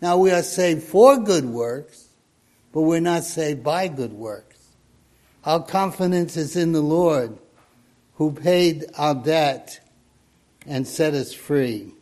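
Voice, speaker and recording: A man in his eighties preaching a sermon, reading scripture in a slow, measured way. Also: fairly high pitch, a little mellow. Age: 80s.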